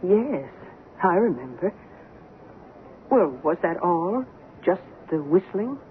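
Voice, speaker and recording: A woman's voice: lowish voice, sing-song, slow pace. An actress playing a sensible woman who recounts her housemate's eerie obsession in an earnest, suspenseful radio drama style. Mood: brisk, matter-of-fact. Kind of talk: old-time radio drama acting. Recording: noisy, narrow-band old radio recording.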